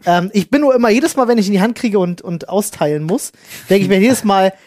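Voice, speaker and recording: A man's voice: high voice, brisk, a little mellow, dry recording, clean studio sound. A man co-hosting a podcast, telling casual anecdotes in slangy, youthful phrasing. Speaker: podcast host.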